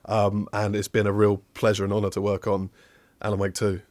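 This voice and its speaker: A man's voice: fairly low pitch, completely flat delivery, brisk, a little mellow, no echo. Chatty young man with a southern English accent. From England, outside southwest London. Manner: chatty and upbeat, with fillers. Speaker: guest, technical audio designer. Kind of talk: casual interview chat.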